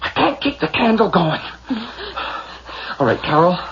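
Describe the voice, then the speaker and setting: An actor playing a frightened, protective husband in a radio horror drama, talking in urgent, agitated bursts. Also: male voice, sits high, sing-song, measured pace, gravelly, muffled recording, background noise, exaggerated voice. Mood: tense, flustered. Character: frightened husband, protective, steadying then frantic in the dark.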